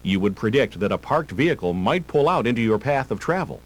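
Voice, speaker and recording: A man reading scripted driver-education narration in a measured, instructional manner. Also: medium pitch, animated. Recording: hum and hiss of old tape.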